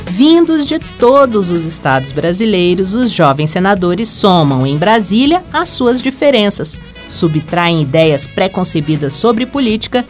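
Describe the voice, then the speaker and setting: Woman, scripted news narration, mid pitch, faint music behind. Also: sing-song, measured pace, hardly any pauses.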